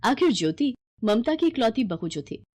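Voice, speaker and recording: A woman's voice: a little high, sing-song, moderate pace, darkish tone. A woman narrating a scripted bedtime moral story.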